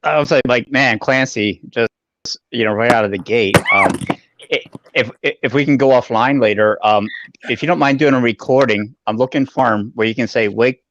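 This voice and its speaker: A man bantering casually on a video call in a joking, halting way, with dull lo-fi sound. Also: mid-range voice, a bit bright, slight background noise. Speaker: co-host.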